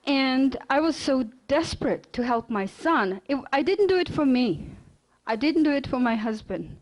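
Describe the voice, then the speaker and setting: A middle-aged woman from Russia with a Russian accent, giving an earnest personal lecture with quick bursts, fillers and restarts. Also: high voice, animated, rough recording. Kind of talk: storytelling lecture.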